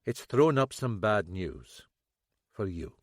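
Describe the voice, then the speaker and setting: Narrator voicing a steady Scottish inspector, low and grave, dry close sound. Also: male voice, slow pace, no echo. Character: stern calm Scottish detective inspector, older man.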